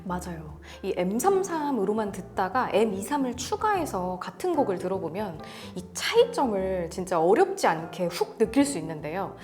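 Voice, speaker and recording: A woman's voice: mid-range voice, very animated, moderate pace, hardly any pauses, darkish tone, soft background music. A woman co-hosting an audio gear review, conversational, agreeing and prompting with questions.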